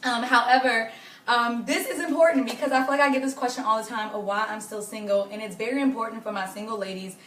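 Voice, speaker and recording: A young woman with a hoarse, raspy voice, chatting earnestly with viewers during a live stream from her home. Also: a little high, fairly even intonation, fast, a bit bright, far from the mic, slight background noise. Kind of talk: casual faith-based advice talk to viewers. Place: home living room. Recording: echoey room, voice off-mic.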